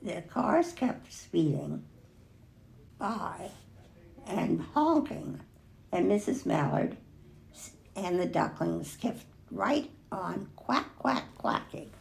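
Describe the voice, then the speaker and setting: Older woman, storybook read-aloud, gravelly creak, unhurried pace, expressive asides on the pictures. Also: on the low side. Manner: expressive, pausing to remark on the pictures. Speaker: storybook reader.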